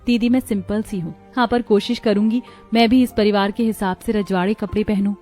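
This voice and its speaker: A woman's voice: mid-range voice, fairly even intonation, a little fast, darkish tone, soft background music, lightly acted. A woman voicing a sincere, modest young village woman in a cartoon family story. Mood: humble. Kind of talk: animated family drama character voice.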